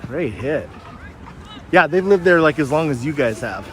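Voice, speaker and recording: A man's voice: fairly high pitch, big pitch swings, moderate pace, a bit bright. A man in casual conversation as a spectator at a soccer game. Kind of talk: casual chat. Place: outdoors beside a sports field. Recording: outdoor field with crowd and traffic noise. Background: crowd and urban outdoor sounds.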